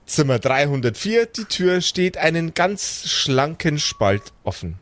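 A man's voice: a little high, big pitch swings, unhurried, a bit bright. A man narrating improvised scenes casually as game master, describing them in colloquial words.

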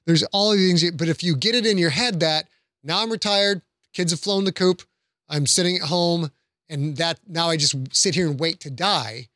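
A man's voice: fairly high pitch, normal speed, pushed and bright, no room sound, studio quality. A man on a podcast panel, speaking casually and earnestly, making encouraging points with illustrative examples.

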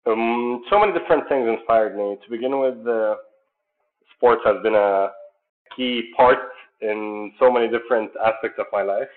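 A man from Jordan, founder of a sports program, answering interview questions in an earnest, measured way with many fillers. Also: mid-range voice. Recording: tinny phone-like line.

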